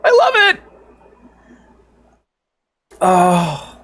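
A man streaming a story game, reading lines aloud and reacting with loud, profane excitement. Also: high voice, very slow. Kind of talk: gaming livestream, reading dialogue aloud.

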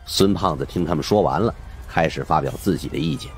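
A man's voice: very deep voice, animated, brisk, soft background music. A man narrating an audiobook as a colloquial, conversational storyteller.

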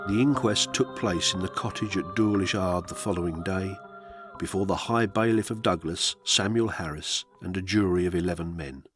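A man reading historical accounts as scripted radio narration, in a measured, solemn storytelling manner. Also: low voice, a bit bright, soft background music.

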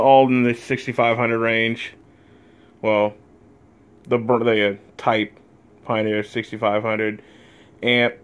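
Slow, offhand man with a smooth low voice. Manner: slow, offhand, listing items with fillers. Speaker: collector giving a room tour. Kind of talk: casual filmed tour. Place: small wood-panelled room indoors. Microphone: phone camera held while walking.

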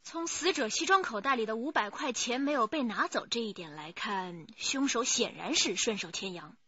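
A woman's voice: on the high side, lively intonation, normal speed, sharp tone, husky, dry recording, highs cut off, heavily acted. An actress playing a composed, analytical female police detective in a radio crime drama. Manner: reasoned, assertive.

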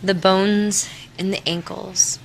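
A woman leading a guided meditation in a soothing, unhurried manner, leaving long pauses between short instructions. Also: mid-range voice, little pitch movement, creaky, a little hiss or hum, low-quality recording. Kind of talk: guided meditation, body scan.

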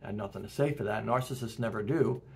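A man's voice: fairly low pitch, fast talker, vocal fry, slightly rough. A middle-aged man talking casually and earnestly to camera at home, with short laughs between points. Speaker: self-help video host. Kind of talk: casual direct-to-camera talk. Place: home room with porch window and plants.

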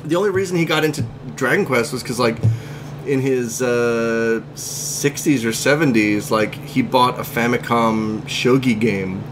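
A man hosting a podcast, telling stories in an animated, joking way. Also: medium pitch, unhurried, noisy background. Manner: animated, jokey storyteller. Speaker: podcast host. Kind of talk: casual chat.

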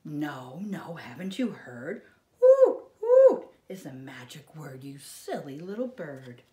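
A woman's voice: deep voice, wildly swooping pitch, slow, warm tone, roomy sound, exaggerated voice. A woman voicing bossy storybook farm animals, chiding emphatically and making exaggerated animal calls. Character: bossy farm animals scolding a silly little bird.

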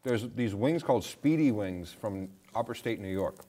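A man's voice: on the low side, animated, normal speed, dark tone, slightly rough. A man around his forties, chatting in a rambling, offhand way as a studio guest on a lapel mic. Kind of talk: casual chat. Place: indoor studio set with curtains.

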